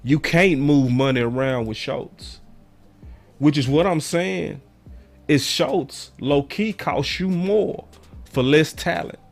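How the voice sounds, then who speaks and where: A hoarse, raspy-voiced man hosting a sports call-in show, talking in an emphatic, slangy, animated way. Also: a little high, slow pace, soft background music. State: hoarse, voice going in and out. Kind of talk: passionate sports commentary to callers and viewers.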